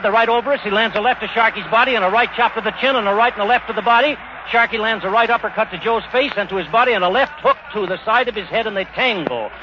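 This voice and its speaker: A high-voiced man gives clipped, rapid boxing commentary from ringside. It is an old 1930s radio broadcast, dull in tone with some background noise. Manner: clipped, running present-tense description. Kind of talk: 1930s live radio blow-by-blow sports commentary. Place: outdoor stadium ringside.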